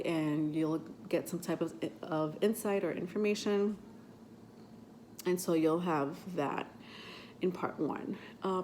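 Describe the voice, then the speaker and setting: A woman on the lower side of the usual pitch range, her voice a little stuffy because she is getting over a cold. She hosts a tarot vlog and talks in a rambling, chatty way with plenty of fillers. A faint hiss of noise sits under her voice. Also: a little mellow. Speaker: tarot reader and channel host. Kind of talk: casual vlog talk to camera.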